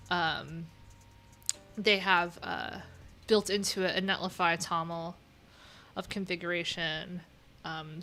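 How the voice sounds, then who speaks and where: A woman thinking aloud in a casual, unscripted tech livestream, informal and halting, often trailing off mid-thought. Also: lowish voice, sharp tone. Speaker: livestream host, web developer.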